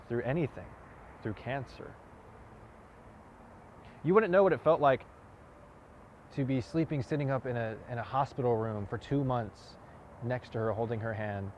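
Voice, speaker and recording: A man performing a dramatic monologue as a wounded, earnest man confronting someone, halting and repeating words for emphasis. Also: fairly low pitch, darkish tone, lightly acted. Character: wounded, earnest man confronting a cocky youth, grieving lover. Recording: dull lo-fi audio, clear outdoor noise.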